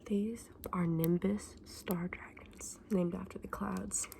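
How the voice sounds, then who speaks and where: A woman doing a soft-spoken, often whispered ASMR roleplay as a gentle, chatty shopkeeper, explaining her wares informally and without hurry. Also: fairly low pitch, fairly even intonation, slow pace, vocal fry. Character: gentle, chatty woman selling dragon eggs.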